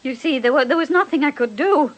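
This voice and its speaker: A woman's voice: sits high, brisk, natural voice. A woman playing an elderly housekeeper and former nanny in a radio drama, devout, bitter and grieving, with fervent righteousness and a servant's deference. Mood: bitter, anguished. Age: older.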